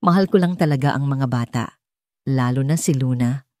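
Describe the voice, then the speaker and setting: A woman voicing a gentle young nanny in an audiobook, soft and reassuring. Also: a bass voice, normal speed, no echo, clean studio sound, voice pushed down.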